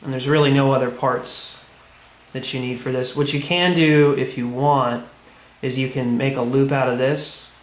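Man with an even voice, echoey room. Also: medium pitch, normal speed. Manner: plain, step-by-step explaining. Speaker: tutorial presenter. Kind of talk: casual hands-on how-to demonstration. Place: indoors at a tiled tabletop. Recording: echoey room, background noise.

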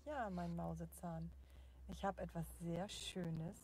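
A woman's voice: lowish voice, steady tone, slow pace, a little mellow. Actress as a wry mother, faint noise. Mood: teasing. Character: teasing wife and mother, wry. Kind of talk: children's audio drama.

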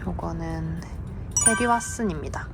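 A woman narrating a plant vlog in a gentle, unhurried way with small hesitations. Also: lowish voice, creaky, over loud music. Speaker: succulent vlogger. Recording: noisy home recording.

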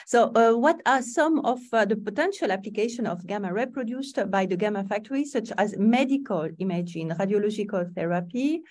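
A woman's voice: mid-range voice, darkish tone. Woman moderator on a video call, polite, reading out questions, sounds a bit far from the mic. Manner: polite, encouraging. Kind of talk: reading audience questions in a webinar.

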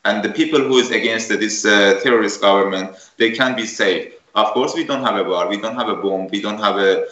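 Iranian man in halting, echoey English. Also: fairly low pitch, fairly bright tone. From Iran. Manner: halting non-native English, searching for words. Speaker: refugee guest. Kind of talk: video call interview. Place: room with bookshelves. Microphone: headset. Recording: echoey room, dull lo-fi video call audio.

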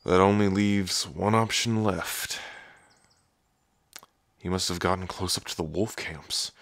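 A gruff male werewolf boyfriend, acted by a man for an ASMR roleplay. His voice is deep and very close and dry. He speaks slowly, brooding and guarded, protective underneath a hot temper. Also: a bit bright, no echo. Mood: guarded, weary.

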